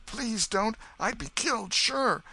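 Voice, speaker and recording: Bir adamın canlandırdığı korkmuş, yalvaran serseri çocuk. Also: male voice, lively intonation, takes it slowly, sharp tone, exaggerated voice. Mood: pleading. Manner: high, breathless pleading. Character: scared ragged boy, pleading and jumpy.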